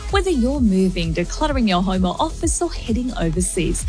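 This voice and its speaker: Woman traffic reporter, brisk, faint music bed. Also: mid-range voice, sing-song, darkish tone. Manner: brisk, informative.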